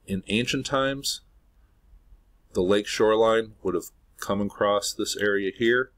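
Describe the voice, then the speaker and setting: A man with a fairly low voice talks over a screen recording, earnest and speculative, stacking up claims with "obviously". The delivery is a casual, unscripted voice-over made at a home desk. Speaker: video host.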